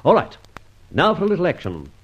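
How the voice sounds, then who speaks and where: Posh gentleman rogue, old radio serial, wordy and flippant, really lively pitch, muffled old recording. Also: brisk, breathy edge, full character voice. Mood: suave, mocking. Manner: urbane, wordy, mock-formal. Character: suave gentleman adventurer, masked highwayman with flippant humour. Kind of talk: old-time radio adventure serial acting and narration.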